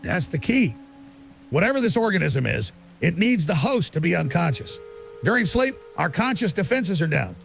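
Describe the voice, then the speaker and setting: Creaky, high-voiced conspiracy researcher. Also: male voice, big pitch swings, normal speed, fairly bright tone, faint music behind, heavily acted. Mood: conspiratorial. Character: earnest, insistent male conspiracy researcher guest. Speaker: interview guest. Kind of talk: talk show interview in audio drama.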